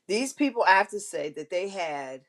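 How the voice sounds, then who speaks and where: A woman's voice: mid-range voice, a bit bright. Frank woman with a big, carrying voice. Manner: frank and self-mocking, with frequent throat clearing. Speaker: host of a live online show. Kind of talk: candid personal storytelling to viewers.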